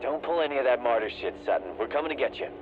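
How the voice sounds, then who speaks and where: Game role: gritty military dispatcher, direct radio talk, medium pitch, dulled lo-fi transmission. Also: male voice, lively intonation, racing, music in the background. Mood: firm. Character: male radio dispatcher at base, firm, gritty, dedicated. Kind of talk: dramatic acting in a video game audio log. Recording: muffled, noisy radio-filtered sound.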